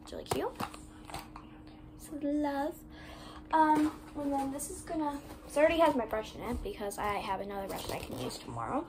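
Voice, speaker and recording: A young girl talks casually to her phone camera from her bedroom, rambling along with plenty of "like" fillers. Her voice sits high and bright. A steady noisy room hiss runs underneath. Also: moderate pace, long pauses. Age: 11, child. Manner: rambling, chatty, many "like" fillers. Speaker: vlogger. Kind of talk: casual vlog chat. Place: bedroom, indoors. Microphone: phone camera on desk.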